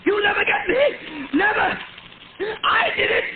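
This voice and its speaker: A cornered murderer at the end of his rope, yelling defiance in a very high, strained voice. He comes through a thin, phone-like old radio transmission with steady hiss underneath. This is 1930s radio drama acting. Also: normal speed. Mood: frantic. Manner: frantic, shouting threats. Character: desperate male murderer, frantic and defiant. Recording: tinny, hissy old radio recording.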